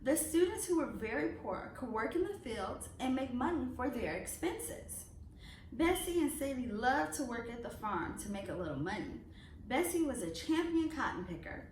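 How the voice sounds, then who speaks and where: A woman with a high, very lively voice reads a children's storybook aloud. Her delivery is expressive, in the manner of a storyteller. She sounds some distance from the microphone in an echoey room. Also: moderate pace. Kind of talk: read-aloud of a children's picture book. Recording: echoey room, distant mic, faint noise.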